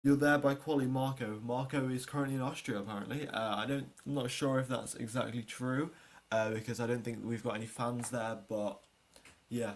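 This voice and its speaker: A man's voice: mid-range voice, fairly even intonation, a little fast. A man hosting a livestream, chatting casually with viewers and previewing a race in a rambling way, repeating words and often trailing off. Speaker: livestream host.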